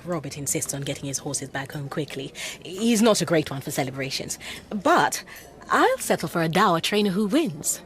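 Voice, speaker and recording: An actress in a radio drama playing a composed, polite, smooth-talking female con artist. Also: sits low, sing-song, normal speed. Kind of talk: naturalistic radio drama acting.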